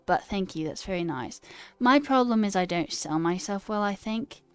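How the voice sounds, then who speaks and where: A woman's voice: medium pitch, expressive, moderate pace, smooth, dry recording. Young woman streamer with put-on British accent. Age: young adult. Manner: self-deprecating, theatrical. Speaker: live coding streamer. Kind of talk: casual livestream chat, reading viewer comments aloud. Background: music.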